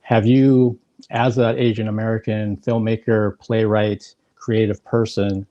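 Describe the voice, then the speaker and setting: A man's voice: lowish voice, flat delivery, unhurried, warm tone, lo-fi sound. A man hosting an interview, asking long rambling questions with hedges and fillers. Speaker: interview host. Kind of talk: casual interview chat.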